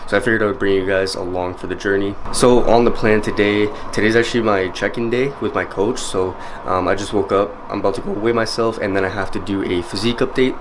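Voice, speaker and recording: A young man in his twenties with a low, easy voice talks to his camera from his bedroom. He chats casually to his viewers, and a faint hiss sits under the recording. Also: steady tone, quick pace, hardly any pauses. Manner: easygoing, chatty, lots of "guys". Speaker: fitness vlogger. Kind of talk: casual vlog talk to camera. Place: small bedroom indoors, later a parked car.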